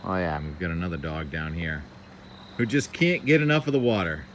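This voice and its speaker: Low-voiced man narrating outdoors over clear background noise. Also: brisk. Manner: enthusiastic, musing aloud, short exclamatory remarks. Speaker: weather vlogger, videographer. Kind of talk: casual outdoor vlog narration about sky and weather. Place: outdoors, beach and waterside. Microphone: handheld phone.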